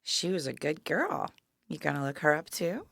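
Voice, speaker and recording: Audio drama role: dying older woman, wry and resigned, with a bright, gravelly edge, recorded dry and close. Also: sits low, big pitch swings, normal speed, no echo, full character voice. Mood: tender, wistful. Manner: weary, frank, dry.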